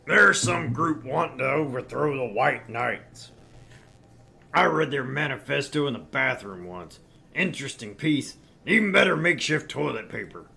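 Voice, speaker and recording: A man's voice: medium pitch, lively intonation, moderate pace, creaky, bright, forceful tone, full character voice. A man voicing a brash, crude, sleazy middle-aged tabloid editor in a visual novel read-through, with a pompous, pushy manner. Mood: gruff, matter-of-fact.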